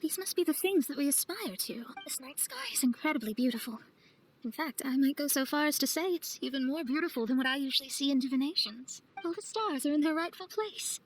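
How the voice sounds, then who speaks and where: A woman voicing an earnest, wistful young astrologer in a video game, speaking in a measured, theatrical way. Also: sits high, a little hiss or hum, natural voice, poor audio. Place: studio, with music behind.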